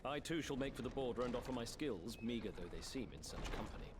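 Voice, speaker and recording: Actor as courteous mid-pitched male warrior. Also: lively intonation, fast, background noise, close to an everyday voice. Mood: humble. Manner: courtly archaic diction. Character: courteous, modest male warrior ally in a fantasy game. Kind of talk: video game cutscene voice acting.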